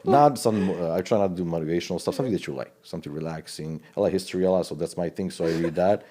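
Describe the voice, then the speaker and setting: Deep-voiced Serbian-accented man, casual. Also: very fast, dark tone. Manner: loose, rambling talk with restarts and broken phrasing. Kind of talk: casual conversational interview.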